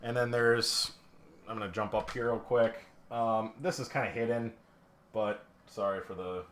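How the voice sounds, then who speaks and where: A man's voice: fairly low pitch, steady tone, measured pace, fairly bright tone. A man in casual, rambling live-stream talk, full of fillers and restarts, showing a pinball playfield up close on camera. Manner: rambling, lots of fillers and restarts, self-deprecating. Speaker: pinball restorer streaming a teardown.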